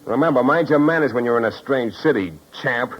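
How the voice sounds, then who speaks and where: A man's voice: medium pitch, a little fast, natural voice. An actor playing a cocky, sardonic private detective in a 1950s radio drama, wisecracking and narrating his own case. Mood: wry, needling. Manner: wry, sardonic. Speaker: lead actor and first-person narrator. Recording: muffled old radio recording with music.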